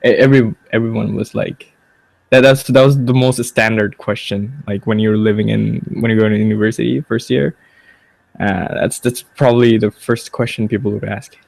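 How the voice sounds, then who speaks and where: A young man as a guest in a casual chat, talking haltingly, repeating words as he searches, swearing casually. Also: on the low side, level tone, gravelly, darkish tone, dry recording, low-quality recording.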